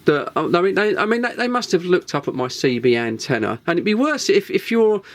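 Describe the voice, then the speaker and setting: A man's voice: high voice, big pitch swings, a little fast. A man casually musing aloud in a home video, rambling and hesitant with many restarts and fillers. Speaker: CB radio vlogger. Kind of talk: casual spoken monologue. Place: home shed.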